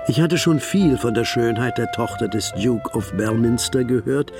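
An actor playing a devoted Victorian gentleman who narrates a German radio drama in an expressive, literary style. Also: mid-range voice.

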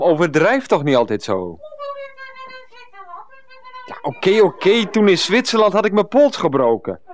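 A man's voice: medium pitch, natural voice. An actor as hesitant, earnest middle-aged Dutch man. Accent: Netherlands Dutch. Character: Freek, pressured 39-year-old ex-teacher, earnest, henpecked by mother. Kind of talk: radio comedy drama acting.

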